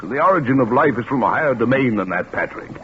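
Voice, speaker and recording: An actor playing a principled, disapproving doctor and family friend, measured and moralizing, in 1940s radio style. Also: male voice, medium pitch, lively intonation, lightly acted. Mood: troubled, reproving. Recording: tinny 1940s radio, faint hiss.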